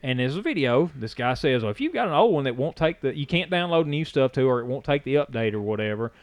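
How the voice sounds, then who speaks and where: A man's voice: medium pitch, sing-song, quick pace, no room sound. A man, chatting on a podcast as a co-host and telling a rambling story. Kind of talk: casual chat.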